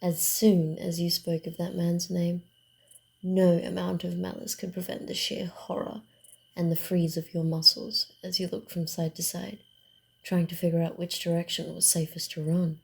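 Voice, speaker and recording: A woman voicing a sly, guarded, secretive female vampire in a hushed, theatrical and deliberate ASMR roleplay style. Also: on the low side, flat delivery, gravelly. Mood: ominous storytelling.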